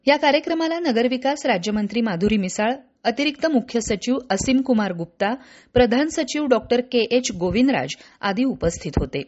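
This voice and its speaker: A woman's voice: fairly high pitch, expressive, moderate pace, a little mellow. A woman reading scripted news as a newsreader. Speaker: news reader. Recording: dull lo-fi radio audio.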